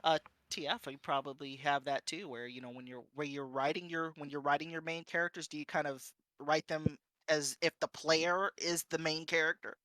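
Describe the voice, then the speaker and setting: A woman's voice: deep voice, fairly even intonation, moderate pace, hardly any pauses, a bit bright, no room sound. A woman from Southern California chatting casually as a streamer on a panel, in an informal, rambling way peppered with "you know". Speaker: visual novel streamer, panelist.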